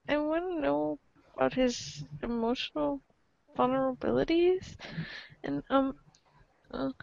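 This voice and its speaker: A woman voicing an awkward, easily flustered alien troll teen in an amateur comic script reading, halting and stammering. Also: high voice, creaky, darkish tone, no room sound, highs cut off, exaggerated voice. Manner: halting, stammering, full of ums.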